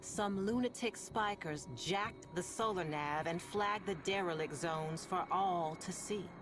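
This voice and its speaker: A woman playing a sardonic, world-weary radio host in a sci-fi game, telling stories in a deliberate, ominous, wry way with long pauses over music. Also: on the low side, close to an everyday voice. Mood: sardonic, foreboding. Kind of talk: slow dramatic monologue to listeners, storytelling.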